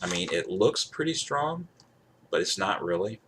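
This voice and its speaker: A man with a low voice talks casually to camera as he demonstrates a device. He rambles, circling back to the same points with emphasis. Some noise sits behind his voice. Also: sing-song, normal speed, a bit bright. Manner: repeats himself, rambling, emphatic. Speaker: gadget reviewer. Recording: clear background noise.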